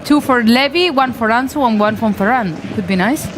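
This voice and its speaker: A woman's voice: fairly high pitch, fairly quick, a little hiss or hum. A woman co-hosting a live stream, reading viewer comments aloud, giggly and hesitant over names.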